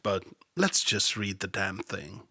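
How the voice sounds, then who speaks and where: A man's voice: on the low side, very animated, slow, over music. A man hosting a satirical Bible commentary podcast, sardonic and mocking, sometimes dropping to a whisper for asides. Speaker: podcast host.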